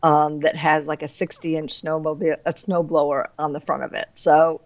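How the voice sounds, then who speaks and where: A middle-aged woman chatting casually as an author in an interview, animated and quick to laugh. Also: low voice, no room sound, lo-fi sound. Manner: animated, laughs readily through her anecdotes.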